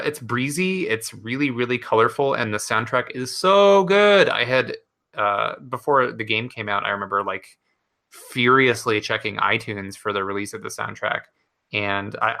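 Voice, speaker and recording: A man's voice: lowish voice, vocal fry, pushed and bright. A man co-hosting a gaming podcast, talking casually and enthusiastically with many fillers. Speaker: podcast co-host. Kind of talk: casual chat.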